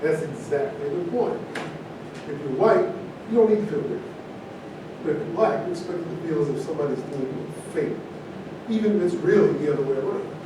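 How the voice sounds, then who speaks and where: A man acts out an earnest debater in a stage play, argumentative and fairly high in voice. He is heard at a distance through heavy room echo. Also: fairly quick, long pauses, natural voice. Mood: pointed. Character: earnest, argumentative man correcting his peers. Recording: very echoey distant room, rumbling noise.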